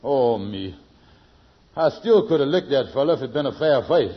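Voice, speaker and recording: A man's voice: medium pitch, expressive, moderate pace, slightly rough. An actor playing a scheming, hapless, boastful man in a vintage radio sitcom, blustery and folksy in his speech. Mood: aggrieved. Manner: blustery, folksy nonstandard grammar. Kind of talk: 1940s-50s radio sitcom acting. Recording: muffled vintage radio recording.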